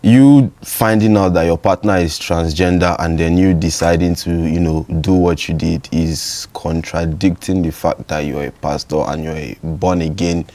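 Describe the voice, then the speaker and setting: A man's voice: deep voice, fairly even intonation, normal speed, hardly any pauses, dark tone, dry recording. A man from Nigeria with a Nigerian accent, chatting casually and giving his opinions as a podcast guest.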